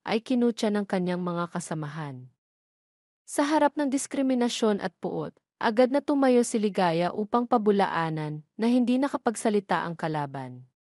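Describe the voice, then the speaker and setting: A woman's voice: medium pitch, darkish tone, no echo, clean studio sound. A woman reading a scripted story as its narrator.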